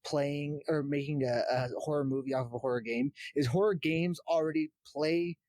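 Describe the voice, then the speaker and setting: A man chatting casually as a podcast co-host, often pausing to search for his words. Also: a little high, steady tone, darkish tone, dry recording, clean studio sound. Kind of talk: casual chat about horror games and films.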